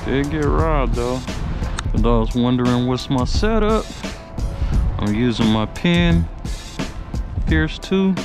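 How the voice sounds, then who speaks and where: A man's voice: mid-range voice, expressive, takes it slowly, creaky, pauses often, over music. A man vlogging casually as he fishes outdoors, talking in a colloquial, exclamatory way into a worn camera. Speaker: fishing vlogger. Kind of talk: casual narration and chat while fishing. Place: open beach at night, outdoors. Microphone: worn action camera.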